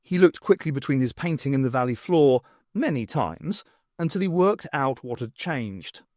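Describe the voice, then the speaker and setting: Male narrator for fiction audiobook: expressive, swooping intonation, very dry close sound. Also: mid-range voice, moderate pace, no echo.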